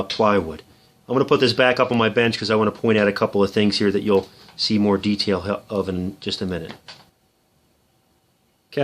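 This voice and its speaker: Low-voiced man, methodical hobbyist demo. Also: a bit bright. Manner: methodical, explanatory, matter-of-fact. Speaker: hobbyist presenter showing a homemade radio power box. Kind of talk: casual unscripted demonstration.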